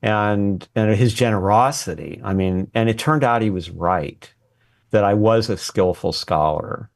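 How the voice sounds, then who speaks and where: A man's voice: lowish voice, a little slow. A man talking as an interview guest, telling a personal story conversationally and acting out bits of remembered dialogue. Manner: acts out quoted dialogue while reminiscing. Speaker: interview guest, former research assistant and scholar. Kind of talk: animated anecdotal storytelling in conversation.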